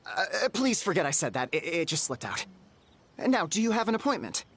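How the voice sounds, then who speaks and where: A jittery bathhouse owner in a game, constantly apologizing and eager to please. The pitch swings lively with nerves. Faint game music sits behind. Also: brisk, a little hiss or hum. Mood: flustered. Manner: apologetic, nervous, overly polite. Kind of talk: game voice acting.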